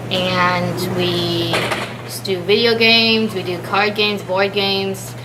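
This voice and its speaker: A young adult woman chats casually about her student club in an informal, halting, self-correcting way. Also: mid-range voice, fairly even intonation, noisy background. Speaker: student club member being interviewed. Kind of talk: casual chat.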